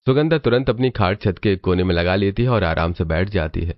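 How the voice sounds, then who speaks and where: Low-pitched man narrating a story. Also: brisk, no room sound, lo-fi sound.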